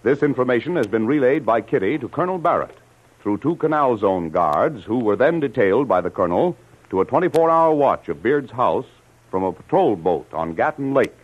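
A man's voice: medium pitch, husky. A man narrating an old radio adventure serial as announcer, in a dramatic, declamatory scripted style. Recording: dull lo-fi old radio recording.

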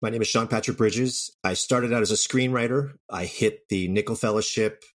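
A man with a low-pitched voice talks casually as an interview guest. He speaks as a writer and producer, in an informal conversational way. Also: moderate pace. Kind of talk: casual interview chat about own career. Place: remote call from home.

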